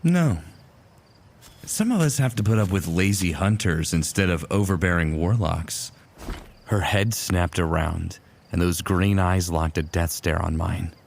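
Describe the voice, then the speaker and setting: Guy playing teasing titan soldier telling his own story, really low gravelly voice, dry humor, audio drama, bit noisy. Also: a little mellow. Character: smitten, teasing male Titan warrior narrating his exploits. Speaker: narrator and lead character. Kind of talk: first-person narration in fan fiction audio drama.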